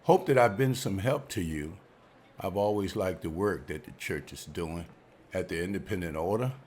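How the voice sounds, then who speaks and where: A man's voice: low voice, normal speed, a little hiss or hum, lightly acted. A man reading the part of a genial, chatty businessman in an amateur table read. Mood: friendly. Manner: folksy, chatty, lively. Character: genial older businessman, banker.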